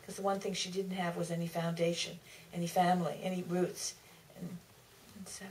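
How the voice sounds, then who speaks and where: A woman's voice: on the low side, level tone, fairly quick, darkish tone. A woman answering informally on a panel, feeling her way through sentences and correcting herself. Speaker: author on a Q&A panel. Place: theater stage. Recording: distant theater mic, faint noise.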